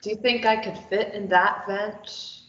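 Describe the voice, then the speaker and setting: A woman's voice: medium pitch, fairly even intonation, measured pace, fairly bright tone, lo-fi sound, close to an everyday voice. A woman playing a defiant captive, roomy sound. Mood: determined. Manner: snappy, argumentative. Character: defiant, sarcastic captive woman, tied up and desperate. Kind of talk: script table read.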